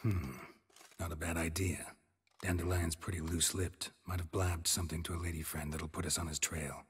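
An actor playing a stoic, dry-witted monster hunter in a video game, speaking in a laconic, deadpan way. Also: male voice, deep voice, normal speed, husky, no echo. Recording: game music under the voice.

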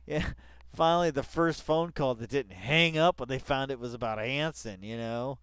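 A man's voice: medium pitch, normal speed, fairly bright tone, clean tone. A man reading an article aloud with casual, profane commentary, muttering asides and repeating phrases in an exasperated way. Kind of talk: rambling read-along commentary, casual and profane.

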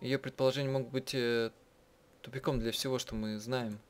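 A man's voice: medium pitch, steady tone. A man translating a visual novel aloud and commenting casually, often stopping to rephrase as he goes. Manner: halting, often rephrasing mid-sentence. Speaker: game player translating and voicing a visual novel. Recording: music playing behind the voice. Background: game soundtrack music.

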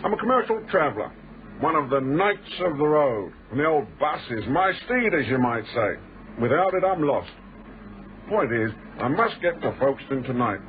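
A man's voice: on the high side, sing-song, moderate pace. Glib British travelling salesman, tinny recording. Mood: glib, persuasive. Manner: glib, hearty, talkative, matey old-chap phrasing. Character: chatty, overfamiliar male commercial traveller, faintly sinister. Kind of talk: radio drama acting. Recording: thin band-limited old radio recording, noisy.

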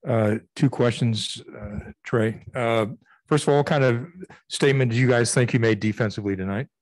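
A male reporter asks a player questions with hesitant starts and plenty of uhs. His voice is at a medium pitch. The sound is dry. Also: normal speed, no room sound. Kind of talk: press conference question.

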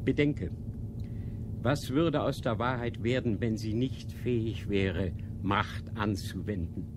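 An actor playing a stern, dogmatic inquisitor in a radio drama, measured and didactic, posing rhetorical questions. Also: male voice, lowish voice, noisy background. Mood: stern, lecturing. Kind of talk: literary radio drama acting.

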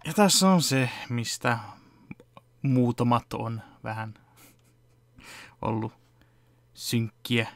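A man's voice: mid-range voice, pauses often, no room sound. A man in his thirties casually walking through his fan-dub editing project from home, rambling and chuckling. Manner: relaxed, rambling, chuckles often. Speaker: fan dub editor and vlogger. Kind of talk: casual behind-the-scenes walkthrough. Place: home room with computer setup.

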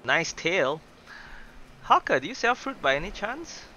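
A young man doing excitable, chatty live game commentary, exclaiming and reading dialogue aloud. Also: high-pitched, measured pace, highs cut off, slight background noise. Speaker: gaming streamer.

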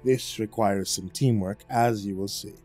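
A man voicing a calm, formal creature keeper who speaks in measured, unhurried sentences, in a storybook narration. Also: lowish voice, husky, soft background music, voice pushed down. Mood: calm, instructive.

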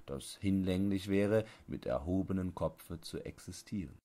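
A man with a low-pitched voice narrates literary prose from a script. His delivery is measured and deliberate, in the manner of a bedtime audiobook. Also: mellow.

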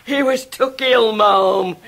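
A woman's voice: fairly high pitch, fairly bright tone, heavily acted. An actress playing a deferential, colloquial English landlady in a radio drama. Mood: obsequious. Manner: slow, obsequious, colloquial.

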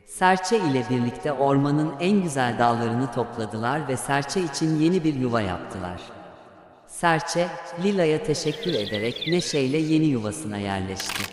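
A man narrating a scripted children's fairy tale as a storyteller. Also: mid-range voice. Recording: echoey long reverb, background sounds.

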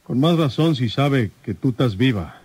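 An actor playing a plain-spoken, folksy rural detective in an old radio serial. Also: male voice, mid-range voice, animated, darkish tone, slightly rough, no room sound. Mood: earnest. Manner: folksy, halting.

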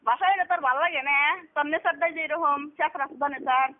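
High-voiced taunting woman on the phone. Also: fast talker. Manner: taunting, sarcastic. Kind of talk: casual teasing phone argument. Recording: tinny, band-limited phone call recording.

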